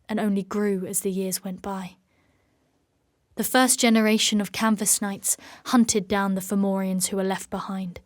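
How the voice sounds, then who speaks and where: Fantasy audio drama lead: actress as soldierly female knight, guarded and proud, formal clipped phrasing, mid-pitched. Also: no room sound, studio quality, natural voice. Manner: formal, clipped, commanding, measured diction. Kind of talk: dark fantasy audio drama acting and narration.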